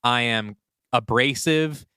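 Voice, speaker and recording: Clear-voiced man brainstorming on a podcast. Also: medium pitch, slow pace, sharp tone, dry recording, studio quality. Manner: thinks aloud, often says "like". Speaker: podcast co-host and writer. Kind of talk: casual brainstorming chat.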